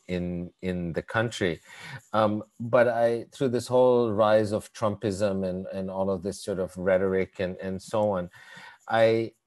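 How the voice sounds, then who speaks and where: A middle-aged man from Canada, born in East Africa, speaking thoughtfully over a video call with many halting repeats. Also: sits low, a little mellow. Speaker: conversation partner. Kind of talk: reflective video-call conversation.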